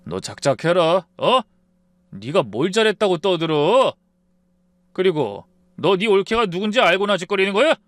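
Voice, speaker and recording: A man doing a gruff, angry grown son. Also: sits high, sing-song, moderate pace, a bit bright, no room sound, clean studio sound, voice pushed up. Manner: gruff, blunt rebukes. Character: angry grown son defending his wife.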